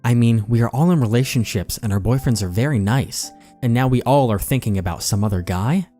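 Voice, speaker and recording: A man acts out excitable young women in a storybook-style reading, gossiping about a crush. The voice lifts above a low natural register and leans on words melodramatically, with music underneath. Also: brisk, natural voice. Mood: gushing.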